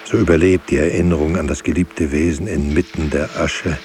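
An actor playing a grave, bitter witness mourning the dead in a poetic radio drama, solemn and rhetorical. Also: male voice, rumbling low, fairly even intonation, a little fast, darkish tone, slight background noise. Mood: mournful.

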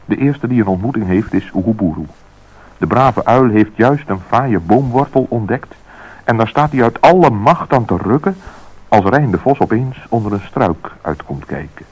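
Husky male storybook narrator. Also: on the low side, lively intonation, normal speed, darkish tone, rough recording. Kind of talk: scripted radio play narration.

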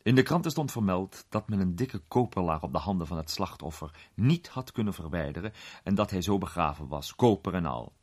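A man playing an urbane gentleman detective who recounts his case with measured, suspenseful pauses in a radio play. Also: sits low, animated, husky. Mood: tense, dramatic. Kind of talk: dramatised storytelling in a radio play.

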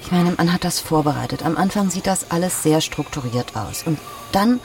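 Exasperated, animated business expat. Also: female voice, deep voice, normal speed, slight background noise. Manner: conversational venting, peppered with English business words. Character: exasperated German business expat in China recounting endless negotiations. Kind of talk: documentary audio drama monologue.

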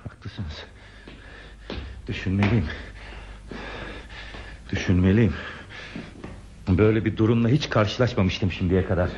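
An actor playing an earnest, anxious railway stationman in a radio play, with a husky voice. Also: fairly low pitch, unhurried, long pauses, darkish tone, a little hiss or hum, close to an everyday voice. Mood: worried. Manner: earnest, repeats words when flustered. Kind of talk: Turkish radio theatre acting. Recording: muffled old radio drama recording.

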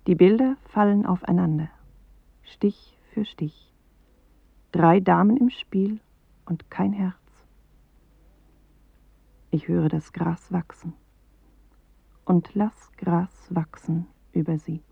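A woman performing a pensive narrator in a poetic radio drama, speaking in measured, deliberate fragments on a muffled 1980s recording. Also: on the low side, gravelly, breathy edge, no echo, heavily acted. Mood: pensive, grave. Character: pensive female narrator of a dreamlike inner journey.

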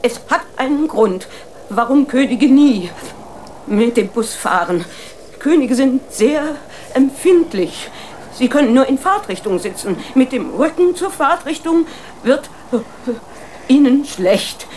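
A woman's voice: high voice, expressive, slow pace, darkish tone, slight background noise. A woman playing a matter-of-fact queen and mother in a children's radio play, speaking with dry deliberation.

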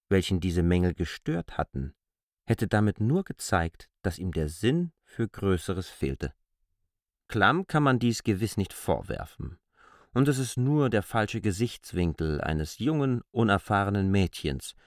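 An actor playing a coolly reasoning, insistent man who lectures deliberately and articulately in a literary radio play. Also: low voice, animated, dry recording, clean studio sound. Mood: insistent, explaining.

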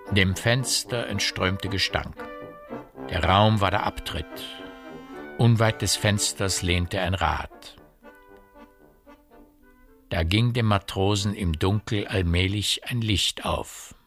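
An actor as a measured narrator, delivering scripted literary narration in a radio drama. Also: male voice, lowish voice, music in the background.

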